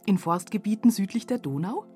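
A woman's voice: mid-range voice, animated, fairly quick, darkish tone, husky, soft background music. A woman acting as a sardonic observer in a literary radio play. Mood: urgent, sardonic. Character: sardonic female observer commenting on a panorama.

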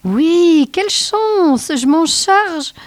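Snow spirit boy, urgent, high-pitched, raised voice, storybook figure, voiced by a woman. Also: lively intonation, extremely slow, no echo, full character voice. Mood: eager.

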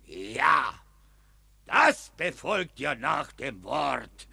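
An actor playing a fiery Capuchin friar who thunders rhymed sermons at a crowd of soldiers in a radio play. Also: male voice, medium pitch, sing-song, drawn-out words, bright, forceful tone, no echo, heavily acted. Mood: scolding sermon. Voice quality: rasping, thundering rhymed preaching. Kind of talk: stage verse radio play.

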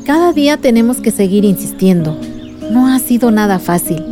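A woman's voice: a little high, animated, moderate pace, music in the background, natural voice. An actress playing an adult village woman, a patient, earnest activist recounting memories in a radio drama. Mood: patient, firm. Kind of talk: radio drama dialogue and narration.

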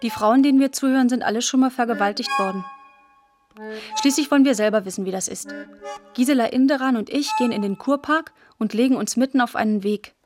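A woman narrating literary prose for a radio play in a deadpan style. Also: a little high, fast talker, music in the background.

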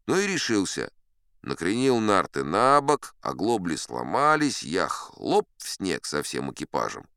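Audiobook drama: self-assured old captain narrator, wry raconteur, smooth resonant voice, close dry sound. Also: male voice, low voice, a bit bright, no room sound, exaggerated voice. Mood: dramatic, urgent. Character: old sea captain spinning tall tales, colourful and self-assured. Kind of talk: theatrical first-person audiobook storytelling.

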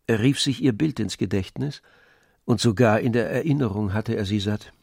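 A man narrating a literary radio drama in a measured, precise storytelling manner. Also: fairly low pitch, gravelly, darkish tone, dry recording, clean studio sound. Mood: composed. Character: composed male literary narrator of a novel. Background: faint music with strings.

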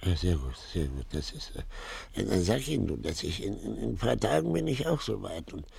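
An actor playing a confused, weakened old man in a radio play, with a husky, breathy, gravelly voice and halting, repetitive speech. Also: low-pitched, big pitch swings, darkish tone, exaggerated voice. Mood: feebly hopeful. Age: older. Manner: halting, repeating himself, muddled. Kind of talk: 1950s German radio play acting.

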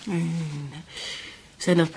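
A man's voice: a little high, level tone, drawn-out words, creaky, dark tone, a little hiss or hum, exaggerated voice. An actor playing an earnest, courteous man who explains what he knows, intent and polite, in a radio drama. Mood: intent, urging. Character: earnest, courteous male informant helping an investigation. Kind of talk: Dutch radio play acting.

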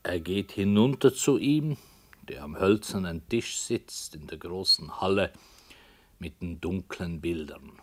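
An actor playing a radio-play author who calmly explains his intent in a measured manner. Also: low-pitched. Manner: slow, measured, lively intonation.

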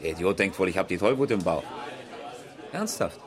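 A man playing a chatty rally driver in a radio crime drama, talking casually and a little haltingly to a friend. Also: low voice, lively intonation, a faint crowd. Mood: uneasy, chatty.

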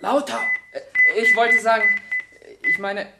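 A man's voice: high voice, slow, vocal fry, heavy background noise, heavily acted. An actor playing a flustered schoolboy in a radio play, hesitating and stammering as he bluffs through answers. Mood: flustered, groping. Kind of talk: German radio play acting.

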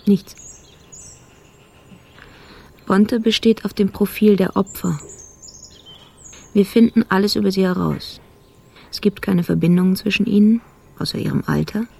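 An actress playing a sober police investigator who recounts a murder case in clipped, factual phrases, in a radio drama. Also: female voice, medium pitch, fairly even intonation, moderate pace, creaky, long pauses, mellow, husky. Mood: sober, reporting. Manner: clipped, factual, report-like delivery. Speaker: actor in radio play. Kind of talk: scripted radio drama narration.

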